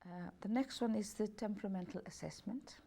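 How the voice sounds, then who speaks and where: A woman therapist reading out a self-assessment questionnaire, going through each option as A, B and C at an unhurried pace, with slightly non-native grammar. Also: mid-range voice, creaky, mellow. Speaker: holistic health and beauty therapist.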